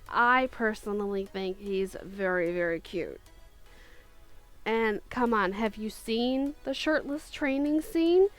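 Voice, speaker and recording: Slow, gushing woman with faint music. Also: medium pitch. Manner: gushing, giggly, with restarts. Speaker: video creator. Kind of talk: casual countdown chat.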